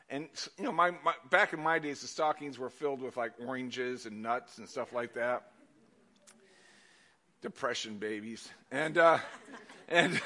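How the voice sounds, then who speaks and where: An older man giving a sermon, telling stories loudly and animatedly with casual asides. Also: a little high. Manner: animated, loud storytelling with asides. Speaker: pastor.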